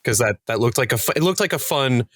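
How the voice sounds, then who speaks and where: A man with a mid-range voice chats casually as part of a podcast cast. He tells stories in an animated way and slips into little acted-out asides. The sound is dry, with very little room. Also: mid-range voice, fast, dry recording. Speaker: podcast cast member discussing their show. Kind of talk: casual panel chat.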